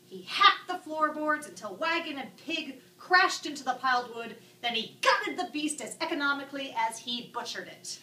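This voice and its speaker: Lady narrating a story, real expressive n a bit arch, bright voice, echoey room kinda far off. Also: sits high, moderate pace, hardly any pauses. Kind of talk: dramatic scripted story narration. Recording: echoey room, distant mic, background noise.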